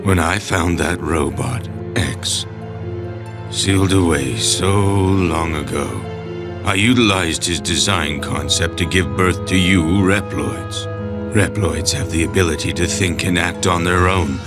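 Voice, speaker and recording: An actor playing an elderly, fatherly male scientist in an animated dub, reflecting slowly and deliberately on his creations. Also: sits low. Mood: reflective. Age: older. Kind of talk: English-dubbed animation acting. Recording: loud music behind the voice.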